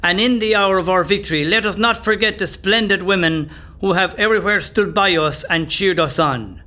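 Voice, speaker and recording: A man's voice: high-pitched, moderate pace, pushed and bright. A man playing a rebel commander declaiming a rousing, solemn dispatch in an audio play. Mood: stirring, proud. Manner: oratorical, solemn.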